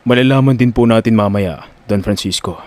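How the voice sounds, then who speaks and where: A man playing a respectful, low-key associate of a rich Don in an audio drama, speaking politely and formally. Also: brisk, darkish tone, close to an everyday voice. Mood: polite, reserved.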